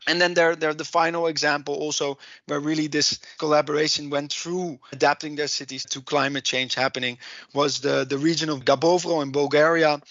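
A man's voice: on the high side, fairly bright tone, dry recording, highs cut off. A man with a heavy Dutch accent explaining project lessons in a podcast, often restarting his sentences. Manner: halting, many restarts and fillers. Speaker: project and policy coordinator.

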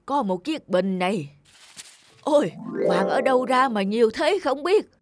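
A woman's voice: on the high side, expressive, measured pace, faint music behind, voice pushed up. A woman voicing an honest, simple old male farmer in a cartoon folktale, earnest and kindly. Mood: wondering, earnest. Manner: earnest, good-natured. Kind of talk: cartoon folktale character acting.

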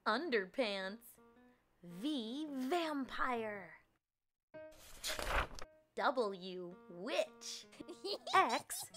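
A young woman hosting a children's read-aloud, speaking in an animated, carefully enunciated way to little viewers. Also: a little high. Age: young adult. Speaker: children's read-aloud host and early educator. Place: indoors, in front of a plain blue backdrop.

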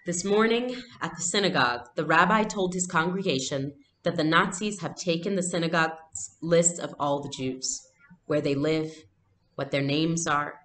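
A woman voicing a troubled, gentle father in a storybook, speaking quietly and gravely. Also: low-pitched, moderate pace.

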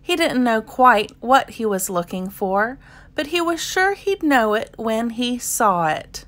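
Slow, animated woman storyteller. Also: on the high side. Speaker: storybook reader. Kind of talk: expressive children's read-aloud narration.

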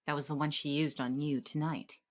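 A woman's voice: low-pitched, little pitch movement, fairly quick, lightly acted. An actress playing a dry, skeptical female police detective in a radio drama, speaking tersely. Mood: dry, questioning.

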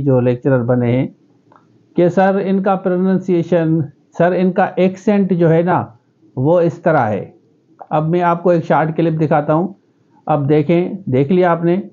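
Earnest Sindhi-accented man with mellow tone. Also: on the high side, dull audio. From Pakistan. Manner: earnest, gestures with both hands. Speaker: educationist giving interview advice. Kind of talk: direct-to-camera advice vlog. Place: small indoor room.

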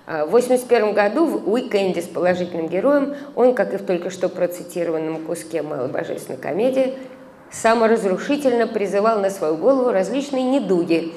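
A middle-aged woman reading an academic paper aloud at a conference, measured, with hesitant fillers and recited verse. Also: medium pitch, animated, darkish tone. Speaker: conference speaker, translator. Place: conference hall, indoors. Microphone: stand microphone at a lectern. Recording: reverberant hall, faint background noise.

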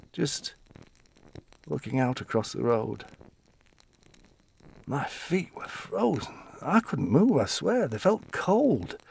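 An old widower confiding his story in a radio-play monologue. His voice sits at a medium pitch, gentle and wistful, with a fussy defensive streak. He pauses and restarts as he reflects, recorded close with little room sound. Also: husky, no echo, heavily acted. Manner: reflective, halting storytelling with animated quoting.

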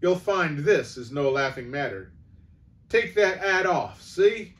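A man's voice: on the high side, big pitch swings, takes it slowly, a bit bright, lo-fi sound, pitched above the performer's own voice, exaggerated voice. A man voicing a stern, officious policeman in a storybook reading. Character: stern self-important Victorian policeman.

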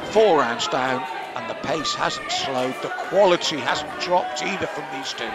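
A man's voice: mid-range voice, animated, measured pace. A man giving live ringside commentary on a kickboxing bout, analytical and offering his own view of the scoring. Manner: analytical, gives personal opinions on the scoring. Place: indoor kickboxing venue with live audience. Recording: loud crowd and venue noise behind.

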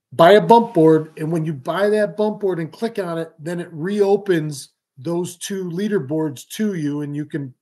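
A man's voice: high-pitched, mellow. A man, hosting a live fishing stream from a small room at home, explaining things casually with lots of ums and restarts. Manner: talks with his hands, many ums and restarts. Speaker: fishing show host. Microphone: webcam or laptop mic, video call framing.

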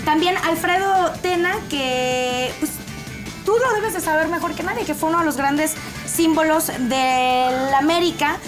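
A high-pitched young woman with a Mexican accent hosts a sports panel in a TV studio, chatty and teasing. Music plays clearly underneath her voice. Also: a little slow. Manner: chatty, teasing, reads out a list with asides. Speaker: host. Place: TV studio set with audience.

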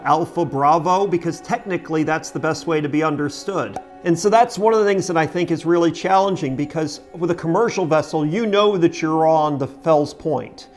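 Higher-pitched middle-aged American man. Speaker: boating video host. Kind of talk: explanatory talk to camera. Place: home kitchen, indoors.

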